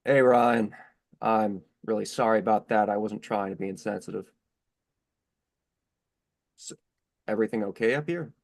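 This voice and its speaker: A man's voice: on the low side, normal speed, vocal fry, pauses often. A man playing an apologetic, concerned friend in a staged play reading, speaking mildly and tentatively.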